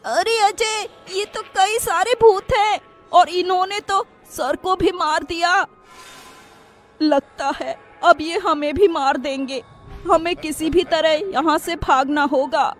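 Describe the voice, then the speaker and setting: A woman's voice: extremely high pitch, slow, soft background music, voice pushed up. A woman voicing a cheeky, childlike young schoolboy in a cartoon. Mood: frightened. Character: cheeky young schoolboy among his friends. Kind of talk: cartoon voice acting.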